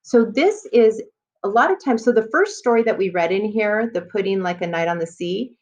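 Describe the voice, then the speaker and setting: A woman's voice: mid-range voice, expressive, moderate pace, darkish tone. A woman elementary school teacher leading a read-aloud lesson for children, explaining and addressing her listeners as she goes.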